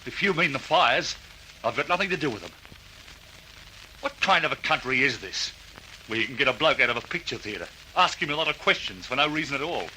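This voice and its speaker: A cornered workman in a radio crime play, blunt and truculent. His voice is very sharp and swings widely in pitch, over a faintly hissy old recording. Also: expressive, a little fast, rough recording. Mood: defiant. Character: defiant, cornered working man suspected of arson. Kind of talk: old radio drama acting.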